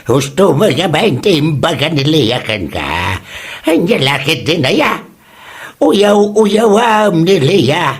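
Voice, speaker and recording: Casting: scolding village dad, played by a man, husky, unhurried but wildly expressive, Ilocano accent, cranky audio-comedy role. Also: a little high, heavily acted. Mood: mocking, scolding. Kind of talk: comedy audio drama acting.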